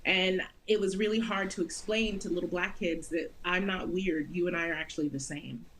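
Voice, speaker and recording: A woman's voice: on the low side, steady tone, moderate pace, fairly bright tone, slight background noise, low-quality recording. A woman in her thirties from the United States, an actress talking earnestly in an interview and laughing often. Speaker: guest actress. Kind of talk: interview conversation.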